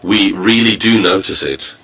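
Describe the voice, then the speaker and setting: Male interpreter, deep and animated, formal with slight stumbles, audible crowd behind. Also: measured pace. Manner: formal delivery with small hesitations and self-corrections. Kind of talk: simultaneous interpretation of a speech.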